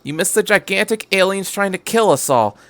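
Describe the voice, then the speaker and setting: A man plays a blunt office lady in a story reading. The voice is pitched somewhat up, sharp and pointed, with a grim edge. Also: expressive, brisk, no room sound. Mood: sharp, incredulous.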